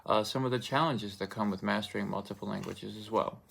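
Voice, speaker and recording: A man's voice: lowish voice, brisk, creaky, a bit bright, a little hiss or hum. A young man whose first language is Russian, giving an informative speech in a loose, rambling way full of fillers and restarts. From Russian-speaking country. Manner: loose, filler-heavy delivery with many restarts.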